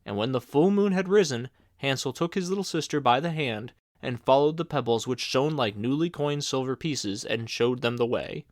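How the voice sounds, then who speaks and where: A man narrating a classic fairy tale in a steady, clear storybook reading. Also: mid-range voice, moderate pace, few pauses, dry recording, studio quality. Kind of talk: fairy tale read-aloud.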